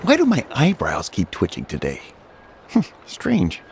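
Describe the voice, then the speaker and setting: A man's voice: medium pitch, wildly swooping pitch, slow pace, gravelly, noisy background, heavily acted. A man voicing a jovial, generous shopkeeper who chuckles often in a video game. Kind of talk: game voice acting.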